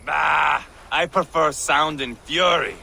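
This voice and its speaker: An actor playing a bloodthirsty, brash Viking warrior in a video game, gravelly and theatrical, relishing his menace. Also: on the high side, slow, pushed and bright, exaggerated voice. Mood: savage relish. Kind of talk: dramatic video game acting.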